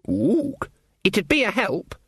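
A man acts out a young male wizard for a comic fantasy storybook. He is a nervous, fussy city type with a querulous, high voice that jumps about in a dry recording. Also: wildly swooping pitch, takes it slowly, fairly bright tone, husky, no room sound, exaggerated voice. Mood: impatient.

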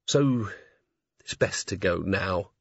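A man's voice: low-pitched, expressive, takes it slowly, gravelly, breathy edge, no room sound, pitched below the performer's own voice, exaggerated voice. A man voicing a guarded young werewolf woman who speaks curtly and dryly. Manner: dry, curt. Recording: slightly dull lo-fi audio.

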